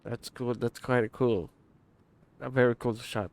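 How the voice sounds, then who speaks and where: A man giving casual live reaction commentary as a game streamer, in short, simple remarks with pauses. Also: medium pitch, steady tone. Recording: game music behind the voice.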